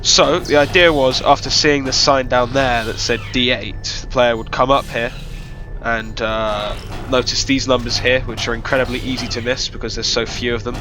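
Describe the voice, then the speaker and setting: A man's voice: mid-range voice, steady tone, normal speed. Nasal, sniffly man with a cold. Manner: self-deprecating, rambling with many fillers. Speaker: map creator giving developer commentary. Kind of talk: casual gameplay commentary. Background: loud game music.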